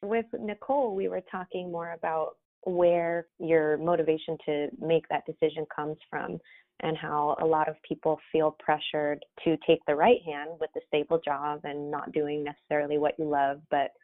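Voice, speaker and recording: A woman's voice: sits low, little pitch movement, normal speed. A woman co-hosting a podcast in a casual chat, talking easily and laughing often. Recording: tinny phone-like line.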